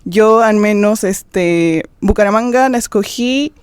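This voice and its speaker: A young woman with a Peruvian accent answers thoughtfully, pausing on small fillers. Her voice sits at a mid pitch, and the sound is dry and close. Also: a little mellow, clear, resonant tone, no room sound. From Peru. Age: young adult. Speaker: exchange student guest. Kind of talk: conversational answer.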